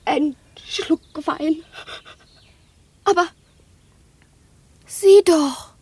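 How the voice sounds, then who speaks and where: A woman's voice: very high voice, drawn-out words, darkish tone, dry recording, heavily acted. An actress playing a frail, secretive young woman in a radio drama, languid and passionate, moving between whispers and intense outbursts. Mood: frail, reassuring. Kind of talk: German radio drama acting.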